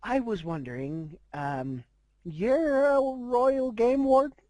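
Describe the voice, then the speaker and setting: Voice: mid-range voice, slow pace, a little mellow, dry recording, voice pushed up, close to an everyday voice. A performer voicing a shy, timid young female pegasus pony, polite and hesitant, in a fan fiction reading. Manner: hesitant, polite, stammering with ums.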